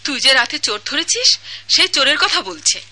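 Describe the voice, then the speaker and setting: A woman's voice: high voice, sing-song, moderate pace, sharp tone, exaggerated voice. A woman with a Bangladeshi accent playing an anxious queen and mother in a radio drama, full of exclamation. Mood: agitated. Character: alarmed, fussing queen and mother.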